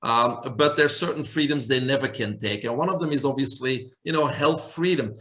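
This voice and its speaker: A man's voice: mid-range voice, normal speed, fairly bright tone. A man in his forties from Belgium with a Belgian accent, earnestly answering interview questions as a holistic health doctor. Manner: earnest, emphatic, lists many terms.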